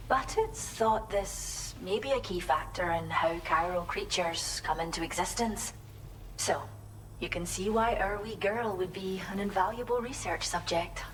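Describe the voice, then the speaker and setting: An actress playing a friendly, grateful wildlife sanctuary researcher in a video game, explaining things gently and colloquially. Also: female voice, lowish voice, slight background noise, lightly acted, low-quality recording. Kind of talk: video game character dialogue.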